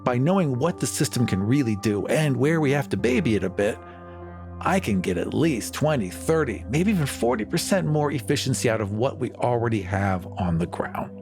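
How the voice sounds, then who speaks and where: A smooth, evasive male colony leader from a tabletop roleplay, played by a man. He pitches his vision with glib assurance in a medium register. Music runs behind the voice. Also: sing-song, normal speed. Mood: confident pitch. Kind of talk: improvised tabletop roleplay dialogue.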